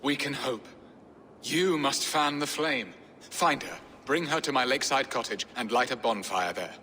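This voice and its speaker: A man's voice: medium pitch, lively intonation, normal speed, sharp tone, a little hiss or hum. An actor playing a wry, middle-aged nobleman in a video game, with a theatrical, sardonic, flowery way of speaking. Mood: wistful, wry. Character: wry, florid middle-aged English nobleman, weary husband. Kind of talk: video game cutscene acting. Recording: long hall reverb, music behind.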